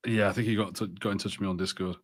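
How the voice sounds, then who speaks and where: A man co-hosting a podcast in casual watch party chat, halting and self-deprecating as he talks. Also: fairly low pitch, fairly even intonation. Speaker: podcast co-host.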